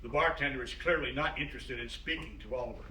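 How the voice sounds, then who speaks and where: Bright-voiced man reading stage directions. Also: mid-range voice, quick pace. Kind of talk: scripted narration. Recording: roomy, distant mic, audible noise.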